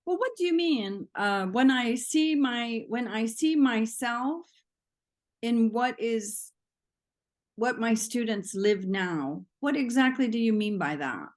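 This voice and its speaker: A woman with a fairly high voice hosts an interview. She introduces and questions her guest in a conversational question-and-answer format. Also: lively intonation, slow pace. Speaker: interviewer, host.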